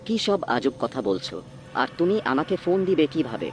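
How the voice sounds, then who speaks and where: A man's voice: fairly high pitch, big pitch swings, fairly quick, soft background music. A man playing a helpful, concerned younger brother in a horror cartoon. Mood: concerned, puzzled. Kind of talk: dubbed cartoon horror dialogue.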